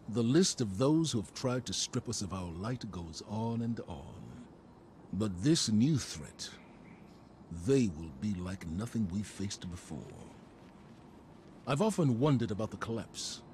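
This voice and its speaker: An actor playing a battle-weary, defiant guardian commander in a video game, solemn and measured. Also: male voice, lowish voice, darkish tone, a little hiss or hum. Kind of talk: video game cutscene monologue.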